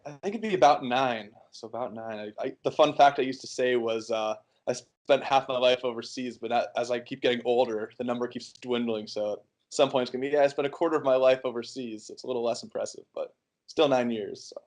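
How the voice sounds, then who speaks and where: A man in his early twenties from the United States, answering interview questions in a relaxed, rambling way with many fillers and restarts. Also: mid-range voice, a little fast. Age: 20s. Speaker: interview guest.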